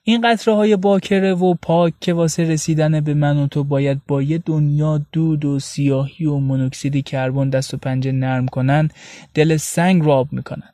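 Male narrator, soft mellow tone, tender wistful recitation, roomy echo, faint music behind. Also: fairly high pitch, fairly even intonation, studio quality. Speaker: podcast narrator. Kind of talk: expressive scripted narration of intimate prose.

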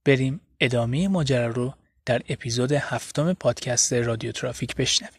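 A man reading scripted storytelling narration as the narrator of a podcast. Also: medium pitch.

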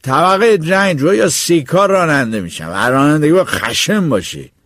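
A man voicing a stern male driving instructor who lectures slowly and gruffly. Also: a little high, very animated, fairly bright tone, no echo, studio quality, pitched above the performer's own voice. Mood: lecturing. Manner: slow, lecturing.

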